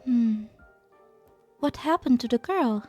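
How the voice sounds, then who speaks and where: A woman playing a gentle, idealistic female scientist in a dramatic fan dub. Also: on the high side, lively intonation, slow, faint music behind. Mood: gentle, wistful.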